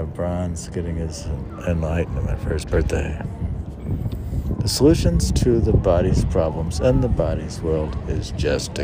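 A man reading his own poems aloud outdoors, line by line in a halting way, with casual asides. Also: low voice. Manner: halting, spells out words, reads aphorisms line by line. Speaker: poet reading own work. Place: outdoors on a city museum lawn. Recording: outdoor recording with clear urban noise.

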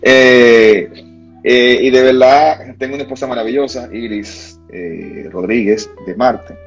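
A man's voice: mid-range voice, unhurried, gravelly, faint music behind. A Dominican man from Santiago, a guest in a casual livestream chat, talking with many fillers and restarts. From Dominican Republic.

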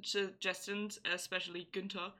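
A woman's voice: mid-range voice, little pitch movement, normal speed, sharp tone. A woman co-hosting a podcast, chatting casually and reading listener answers aloud. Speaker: podcast co-host. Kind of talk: casual chat.

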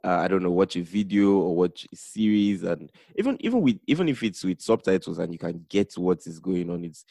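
A man's voice: deep voice, brisk, gravelly, few pauses, dark tone, no room sound, studio quality. A man hosting a podcast and quizzing his guest in a playful, teasing way. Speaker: podcast host. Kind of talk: casual podcast interview.

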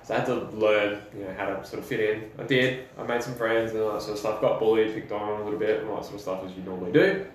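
A man's voice: lowish voice, steady tone, fast, hardly any pauses. Chatty Australian man, far-off echoey mic. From South Australia. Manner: loose and chatty, full of "like" and restarts. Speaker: podcast guest. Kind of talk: casual storytelling. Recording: echoey, far from mic, faint background noise.

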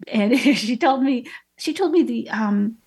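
Woman, 30s, painter guest. Bright-pitched, a bit husky, loose conversational delivery full of ums. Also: expressive, unhurried. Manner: chatty storytelling with many fillers and restarts. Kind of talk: casual interview chat.

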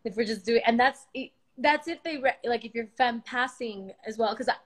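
A woman from Miami telling a story in casual chat, animated and exasperated, swearing freely. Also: a little high, moderate pace. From Miami, United States.

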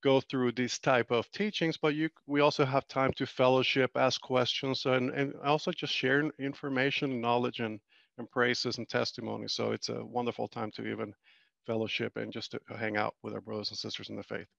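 A man hosting an online faith gathering, introducing the teacher in a halting way with many fillers and restarts. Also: mid-range voice, little pitch movement, creaky, a bit bright, no room sound. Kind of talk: loose, rambling introduction. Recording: dull, muffled sound.

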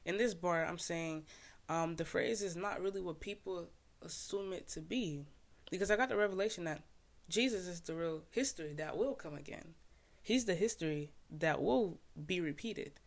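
A man's voice: sits high, moderate pace, vocal fry. A young man from Haiti, a podcast host and poet, casually explaining his own poem in laid-back slang full of "like" fillers.

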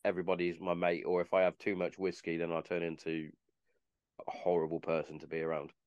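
Deep-voiced man in his thirties. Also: monotone, a little fast, darkish tone, clear, resonant tone, dry recording, dull audio. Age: 30s. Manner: blunt, swears casually, sardonic asides. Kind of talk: casual chat.

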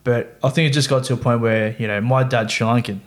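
A man's voice: medium pitch, fairly even intonation, fast talker. A young man, a podcast guest, talking casually and rambling through fillers and restarts. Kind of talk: casual chat.

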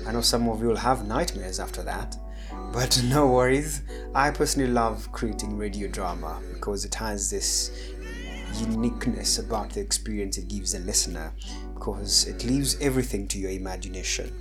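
A man's voice: on the low side, normal speed. Male podcast host over music. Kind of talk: radio drama narration and podcast hosting.

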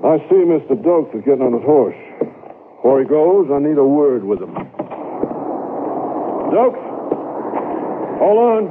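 An actor playing a steady, no-nonsense Old West sheriff in a radio western, speaking with terse authority. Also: male voice, on the high side, a little slow, pauses often. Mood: stern. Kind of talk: radio western drama acting. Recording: tinny, band-limited old radio with faint noise.

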